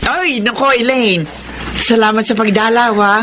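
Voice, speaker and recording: A woman's voice: on the high side, steady tone, moderate pace, over loud music, natural voice. An actress with a Filipino accent playing a friendly, chatty older female relative in a radio drama. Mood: grateful, curious. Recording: noisy radio drama recording.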